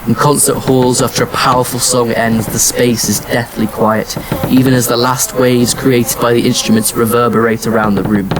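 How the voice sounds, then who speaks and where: A somber male wanderer played by an actor tells his science fiction story in the first person. His voice sits at a medium pitch, measured and reflective, with clear background noise around it. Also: level tone, natural voice. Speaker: narrator and lead character in an audio drama. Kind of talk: first-person scripted narration.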